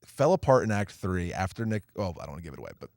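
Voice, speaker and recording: A man's voice: low voice, sing-song, rapid-fire, darkish tone, dry recording, clean studio sound. A man co-hosting a movie podcast, delivering wry, theatrical quips in casual review chat. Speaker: podcast co-host.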